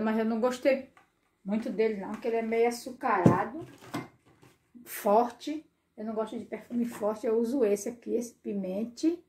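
A woman's voice: fairly high pitch, dark tone. Chatty woman filming herself on a phone. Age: middle-aged. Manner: chatty, repeats words, calls viewers "gente". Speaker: vlogger. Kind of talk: casual vlog chat to viewers. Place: small home bedroom and kitchen, indoors. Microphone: phone held at arm's length.

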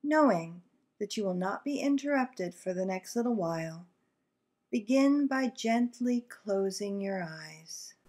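Female guide for visualization meditation. Relaxed, warm timbre, very measured delivery, gentle and calming. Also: medium pitch. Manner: soothing, gentle, deliberate with long pauses.

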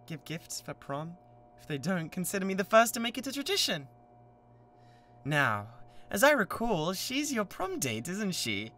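Male actor as young yandere, British accent, obsessive, possessive, menacing, sharp tense tone, very animated, ASMR roleplay. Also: on the high side, slight background noise, exaggerated voice. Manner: possessive, menacing, swinging from tender coaxing to frenzy. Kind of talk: dramatic ASMR roleplay acting.

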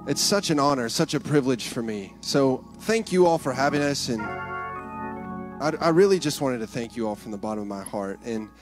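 A man's voice: mid-range voice, expressive, a little fast, faint music behind. A young man on a church stage talks into a handheld mic, sharing a testimony in an earnest, casual way. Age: young adult. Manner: earnest, casual talk with restarts. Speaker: keyboard player giving a testimony.

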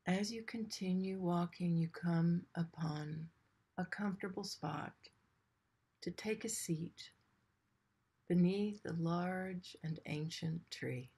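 A woman with a fairly low voice guides a meditation. She speaks very slowly and gently, in short phrases set apart by long pauses, keeping a soothing manner throughout. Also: breathy edge.

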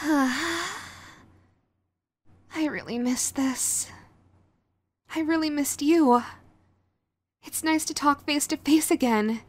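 A woman playing a sweet, clingy yandere girlfriend in an audio roleplay, nervous and halting, gushing and apologetic as she tries to reform. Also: high-pitched. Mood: sheepish, longing. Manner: halting, gushing, apologetic, swinging between eager and sheepish. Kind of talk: romantic audio roleplay, acted to the listener.

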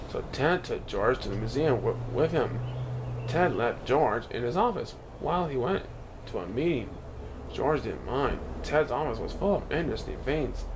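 A man reads a children's storybook aloud in an animated storytelling manner, now and then stumbling over a word. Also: mid-range voice, noisy background. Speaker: storybook reader.

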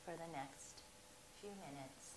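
Slow, soothing woman guiding meditation. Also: sits low. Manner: slow, soothing, drawn-out phrasing with long pauses. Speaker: meditation guide. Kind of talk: guided meditation. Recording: echoey room with faint hiss.

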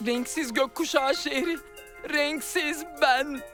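A woman's voice: high voice, slow. A woman voicing an anxious, fretful royal helper in a children's cartoon dub. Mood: worried. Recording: loud children's music behind.

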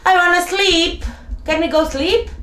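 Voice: very high voice, measured pace, bright, forceful tone, faint music behind, exaggerated voice. Someone voicing a big, clumsy, childlike teddy bear in improvised pretend play, whiny and exclaiming in simple phrases. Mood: sulky. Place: indoors at home. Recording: roomy home echo, faint noise.

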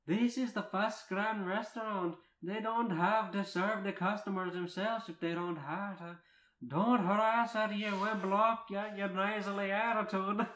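A man voicing a fierce, indignant young animated princess in loud rants. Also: high voice, a little slow, echoey room, voice pushed up, poor audio.

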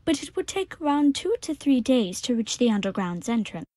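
A woman playing a gentle, motherly monster in an audio drama, with kindly, formal, careful phrasing. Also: a little high, sing-song, normal speed, dry recording, studio quality.

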